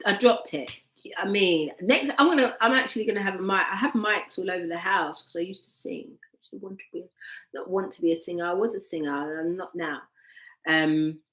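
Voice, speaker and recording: A woman giving a live tarot reading from home on a laptop mic, veering from excited outbursts to soft murmuring. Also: medium pitch. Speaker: tarot reader. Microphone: laptop microphone. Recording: echoey room, laptop mic.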